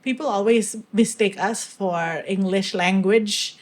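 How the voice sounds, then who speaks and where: A woman hosting a casual podcast conversation, chatty and quick to laugh, with short backchannels. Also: mid-range voice. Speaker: podcast host.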